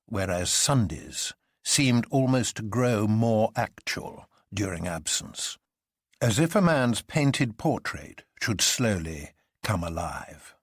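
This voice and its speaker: A man's voice: lowish voice, lively intonation, slow pace, breathy edge, no echo, studio quality. A man reading a story as narrator, in a dramatic scripted style. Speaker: narrator, audiobook reader.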